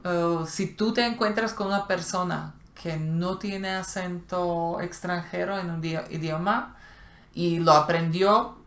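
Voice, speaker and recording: Low-voiced woman telling a story. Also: steady tone, fairly bright tone. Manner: storytelling, pauses and restarts. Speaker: co-host of a language channel. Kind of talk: casual conversation. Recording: roomy echo.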